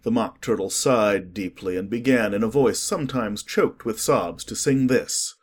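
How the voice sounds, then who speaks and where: A man with a husky voice reading scripted audiobook narration of a story. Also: lowish voice, normal speed.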